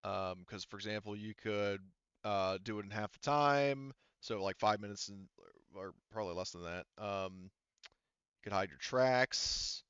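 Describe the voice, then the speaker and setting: A man's voice: on the low side, a little slow, fairly bright tone, clear, resonant tone, no room sound, dull audio. A man running a tabletop roleplaying game as game master, talking casually, thinking aloud and swearing freely.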